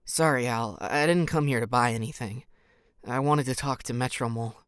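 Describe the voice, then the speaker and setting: An actor playing an earnest, guarded, sharp-minded teenage boy in an audio drama. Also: mid-range voice, moderate pace, clear, resonant tone, no room sound, studio quality, lightly acted.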